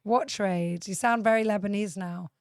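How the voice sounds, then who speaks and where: A woman's voice: medium pitch, steady tone, normal speed, no echo, clean studio sound. A young woman hosting a casual interview podcast, chatty, upbeat and teasing. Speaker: podcast host.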